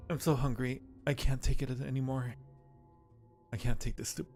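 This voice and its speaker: Game creature, female, hungry and self-loathing, anguished muttering played by a man, slight creak, music beneath. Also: mid-range voice, fairly even intonation, normal speed, a little mellow, natural voice. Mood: desperate. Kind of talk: reading game dialogue aloud.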